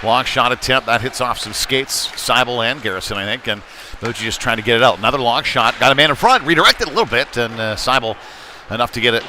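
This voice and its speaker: Folksy male hockey announcer, noisy rink. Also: medium pitch. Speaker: play-by-play hockey commentator. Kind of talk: live youth hockey game commentary. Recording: noisy rink ambience behind voice.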